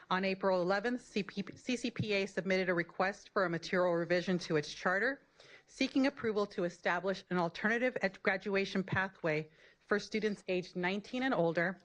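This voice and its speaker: A woman's voice: fairly low pitch, poor audio. A woman reading out a formal scripted agenda item as a staff member at a public meeting. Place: public board meeting room.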